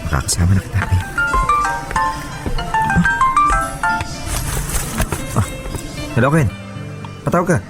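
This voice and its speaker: A man's voice: medium pitch, very animated, slow pace, long pauses. A man acting a smitten, evasive young man in his twenties in a Tagalog radio drama. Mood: casual. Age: young adult. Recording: music behind the voice.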